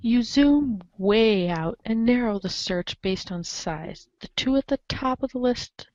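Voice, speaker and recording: A woman's voice: on the high side, lively intonation, a little slow, darkish tone, no room sound. A woman reading scripted narration aloud as the narrator of a group reading. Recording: dull lo-fi audio.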